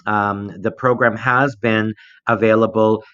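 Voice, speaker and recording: A middle-aged man giving an informal online presentation as an education director, explaining things in a measured, hesitant way. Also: fairly low pitch, steady tone, fairly bright tone, smooth. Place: indoors, recorded remotely for an online conference. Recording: roomy, dull lo-fi online recording.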